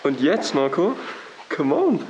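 A man's voice: a little high, big pitch swings, takes it slowly, dull audio, noisy background. A man giving casual, on-the-spot commentary as he explores inside a derelict building. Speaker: urban explorer and presenter.

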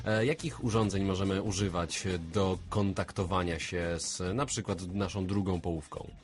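A man with a somewhat low voice hosts a radio interview. He puts questions to his guests in a conversational way. A little music runs faintly underneath. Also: fairly even intonation, moderate pace. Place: radio studio.

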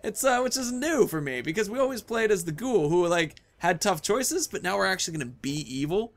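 Higher-voiced man rambling through game commentary. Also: animated, brisk. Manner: rambling, playful, talks to himself and the game. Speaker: gaming video host. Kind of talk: casual gameplay commentary.

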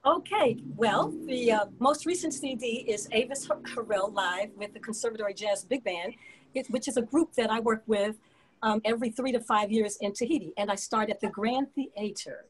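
A woman's voice: a little high, moderate pace, a little hiss or hum, rough recording. A woman singer talking casually as an interview guest on a video call, giving advice and promoting her work. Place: indoor room at home, on a video call.

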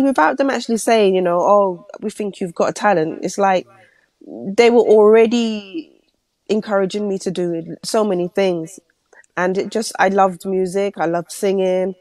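Woman from London, a singer who is a bit under the weather, telling her story in a mid-pitched voice on a dry mic. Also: a little mellow, no echo. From London, England. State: unwell. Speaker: guest singer. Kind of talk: casual interview chat.

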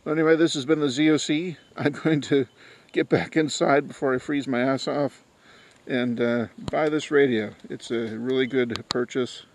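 A man's voice: mid-range voice, steady tone, normal speed, a little hiss or hum. A man hosting an informal hands-on product video, talking casually and a little crudely. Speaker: host of product unboxing videos. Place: small metal trailer, then outdoors in snowy yard.

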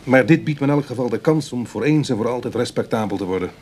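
An actor playing a charming, self-mocking ex-burglar in a Dutch radio drama, with a Netherlands accent and a wry, chatty manner. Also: male voice, medium pitch, expressive, quick pace, a little mellow, breathy edge. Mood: wry, reflective. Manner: teasing, hesitant asides, chuckling irony.

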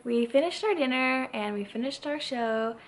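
Higher-voiced young woman vlogging over background noise. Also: normal speed, rough recording. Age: 20s. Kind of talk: casual chat to camera. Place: indoors at home. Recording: clear background noise, home room.

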